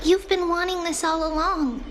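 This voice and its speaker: A woman's voice: extremely high pitch, steady tone, normal speed, noisy background. A woman voicing a sweet, playful little girl in a fantasy game, with a childlike, affectionate manner. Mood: fond, teasing.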